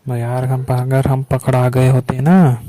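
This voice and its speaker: A man's voice: mid-range voice, monotone, unhurried, dark tone, no room sound, close to an everyday voice. A man playing a wary village lover on a secret phone call, coaxing and making excuses in colloquial Hindi with an eastern Uttar Pradesh or Bihar accent. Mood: uneasy. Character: furtive, wary village man secretly romancing his sister-in-law.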